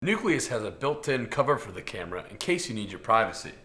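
A man's voice: on the low side, very animated, fast, fairly bright tone, a little hiss or hum. A man in his thirties doing an upbeat, scripted comedic product presentation in a salesman's delivery. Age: 30s.

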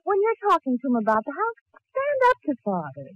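An actress playing an affectionate but scolding young sweetheart in a 1940s radio comedy. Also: female voice, sits high, huge pitch swings, fairly quick, no room sound, dull audio. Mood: coaxing. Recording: old 1940s radio recording.

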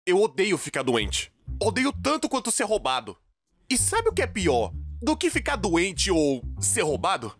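A Brazilian man delivers comedic storytime narration with a very lively pitch that swings widely. His manner is exaggerated and dramatic, full of casual slang. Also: unhurried. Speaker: storytime animation narrator, dubbing in Portuguese.

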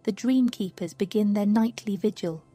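A woman reading a scripted guided sleep meditation in a slow, soothing, hushed manner. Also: mid-range voice. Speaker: meditation narrator. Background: music.

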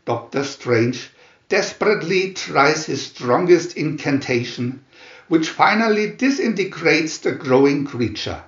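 Man, theatrical storybook narration, measured and slow, slightly muffled recording. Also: a little high, lively intonation, roomy sound. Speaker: narrator reading a picture booklet. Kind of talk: dramatic scripted storybook narration.

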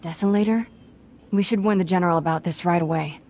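An actress plays an irreverent young apprentice in a sci-fi video game. Her voice sits fairly low, with a wry, plucky delivery. There is faint noise in the background. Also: fast, a little mellow, close to an everyday voice. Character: plucky, cheeky young female Jedi apprentice. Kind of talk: video game cutscene acting.

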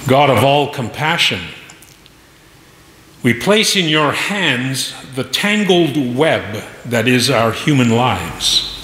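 A middle-aged male minister speaks slowly and solemnly from a pulpit. His bright, forceful voice swings widely in pitch as he delivers prayer and sermon in deliberate phrases. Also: expressive. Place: large church sanctuary, masked at pulpit. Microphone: pulpit microphone. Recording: echoey church hall, faint noise.

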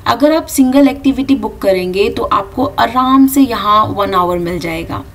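A woman's voice: a little high, lively intonation, normal speed, recorded from a distance. A woman with an Indian accent giving travel advice in a vlog voice-over, mixing Hindi and English. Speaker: vlogger narrating.

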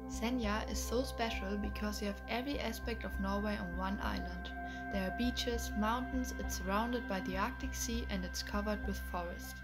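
Mid-pitched teenage woman over loud music. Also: moderate pace. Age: teens. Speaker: student narrator. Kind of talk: scripted promotional documentary narration.

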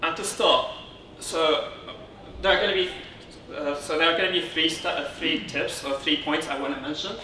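A man from Syria giving an informal technical conference talk as a speaker, often halting and rephrasing as he goes. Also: fairly high pitch, fairly even intonation, pushed and bright. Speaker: conference speaker, software consultant. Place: indoor darkened conference room, on stage beside a lectern. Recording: roomy, distant, noisy room sound.

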